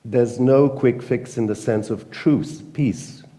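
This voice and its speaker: A man with a British accent, speaking earnestly and emphatically at a conference panel, pausing often with fillers. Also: medium pitch, dark tone, big-room sound. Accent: British English. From Britain. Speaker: panel speaker, newspaper editor. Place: conference hall.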